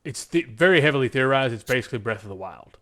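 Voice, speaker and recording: Bright, forceful American man chatting. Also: mid-range voice, lively intonation, quick pace. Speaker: podcast co-host. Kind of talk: casual enthusiastic pop-culture podcast chat.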